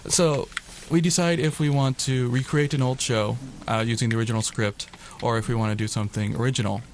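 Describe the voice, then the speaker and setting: A man with a medium-pitched voice answers questions in a casual radio interview. He explains his group's work in a matter-of-fact way, with faint noise in the background. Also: steady tone, brisk. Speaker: radio theater group member, interview guest. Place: college radio station.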